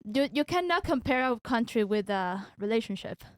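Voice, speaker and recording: Young Venezuelan woman, halting speech. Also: a little high, lively intonation. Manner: hesitant, searching for words, many restarts. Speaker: guest. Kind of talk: casual debate talk.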